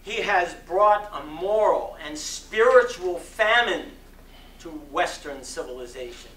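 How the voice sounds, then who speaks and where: A man delivers a sermon as a dramatic reading in a bright, forceful voice. His phrasing is very slow and deliberate, with impassioned oratorical emphasis. He is recorded from a distance in an echoey hall. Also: on the high side, animated. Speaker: reader of a sermon. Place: community center hall. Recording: distant mic in echoey room, faint noise.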